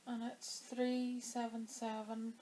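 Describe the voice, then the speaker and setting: A woman's voice: fairly high pitch, little pitch movement, takes it slowly, warm tone, slight background noise, poor audio. A woman vlogging about her knitting in a chatty, rambling way, her throat dry as she comes down with a cold. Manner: chatty and rambling, with many fillers. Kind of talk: casual chat to camera.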